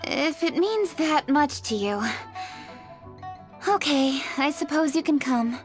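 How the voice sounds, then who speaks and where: Composed, scholarly young noblewoman for a game; formal, slightly high voice. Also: animated, slow, faint music behind. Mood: relenting, brisk. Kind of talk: scripted video game dialogue.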